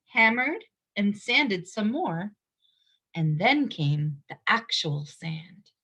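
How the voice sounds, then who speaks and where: A woman reading a picture book aloud to young children, expressively, pausing to explain things simply. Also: fairly high pitch, sharp tone, slightly rough, low-quality recording.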